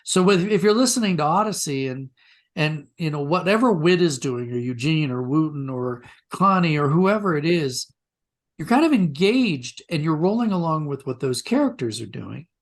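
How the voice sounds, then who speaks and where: A man's voice: a little high, animated. Thoughtful man talking in an interview. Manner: thoughtful, halting, rephrases himself. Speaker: writer, interview guest. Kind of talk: reflective interview talk.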